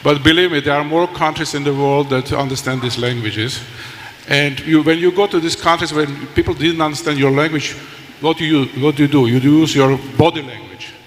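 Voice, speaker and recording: Armenian man speaking on a conference panel. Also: a little high. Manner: halting, many restarts. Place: large conference hall. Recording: hall reverb, faint noise.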